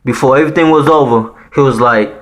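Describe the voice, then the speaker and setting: Man, 30s, Haitian accent, casual profane storyteller, middle-pitched voice. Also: quick pace, fairly bright tone, poor audio. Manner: slangy and profane, peppered with "man" and "you know what I'm saying". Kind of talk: casual spoken storytelling to viewers.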